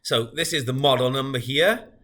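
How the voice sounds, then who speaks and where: A man's voice: medium pitch, normal speed, pushed and bright. A man demonstrating a product in an informal, explanatory, matter-of-fact way.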